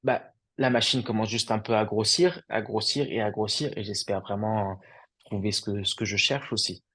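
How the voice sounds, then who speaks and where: A young man speaking with a low voice in a relaxed interview. He talks loosely and chattily, leaning on small fillers as he recounts his own experience. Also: moderate pace, breathy edge. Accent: French. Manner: loose and conversational, lots of fillers. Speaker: entrepreneur guest. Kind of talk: casual testimonial interview.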